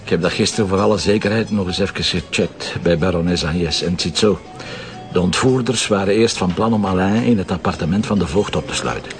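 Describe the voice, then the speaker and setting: A man's voice: low voice, fairly quick, soft background music, lightly acted. A man with a Flemish accent playing a self-assured, teasing police commissioner in an audio drama, explaining things wryly. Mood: explaining, earnest.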